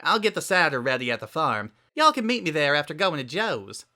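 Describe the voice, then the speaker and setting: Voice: mid-range voice, sing-song, fairly quick, pushed and bright, voice pushed up. A performer voicing an easygoing, dependable country farm girl with a folksy, laid-back manner. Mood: agreeable.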